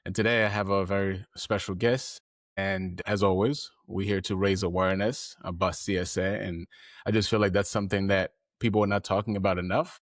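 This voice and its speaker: Deep-voiced earnest male podcast host. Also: level tone, fairly quick, no room sound, clean studio sound. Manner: earnest, rambling with fillers. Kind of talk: heartfelt interview.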